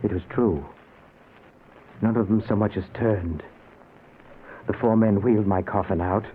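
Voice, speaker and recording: A man's voice: fairly low pitch, measured pace, lightly acted. An actor playing a newly dead, anguished man who narrates his afterlife in a theatrical old-time radio drama. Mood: grave, stunned narration. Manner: theatrical, intense, pleading. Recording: noisy, narrow-band old radio recording.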